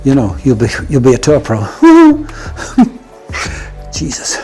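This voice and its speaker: Chatty Scottish golf coach, blunt and jokey. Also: male voice, medium pitch, huge pitch swings, slow, mellow, soft background music. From Scotland. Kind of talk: casual instructional talk.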